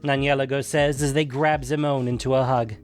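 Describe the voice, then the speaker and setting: A man's voice: medium pitch, flat delivery, a little fast, soft background music. A man hosting and narrating a scripted fantasy audio story. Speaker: narrator and podcast host.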